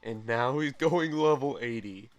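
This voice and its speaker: A young man hosting a gaming channel, chatting casually and excitedly through game news, often correcting himself mid-sentence. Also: mid-range voice, unhurried, highs cut off. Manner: rambling, self-correcting, excitable.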